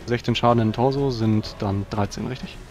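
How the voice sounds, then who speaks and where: A man's voice: medium pitch, steady tone, moderate pace, over music. A man chatting casually as a player in a tabletop role-playing game.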